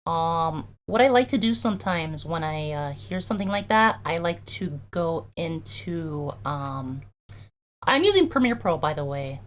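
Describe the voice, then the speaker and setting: A young adult streamer with a high-toned voice, chatting casually and filling every pause with "like" and "um" while working through audio edits live. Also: animated. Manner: chatty, heavy use of "like" and "um".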